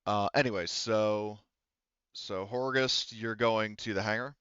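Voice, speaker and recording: A man's voice: lowish voice, steady tone, unhurried, a bit bright, clean tone, no echo. A man acting as game master, talking casually and thinking aloud hesitantly over a slightly dull, dry online voice chat. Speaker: game master of a tabletop roleplaying game.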